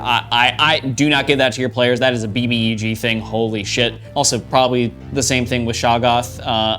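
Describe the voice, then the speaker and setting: Male game-advice host, mid-pitched, casual and irreverent, conspiratorial asides, music bed underneath. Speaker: host of a tabletop RPG advice show.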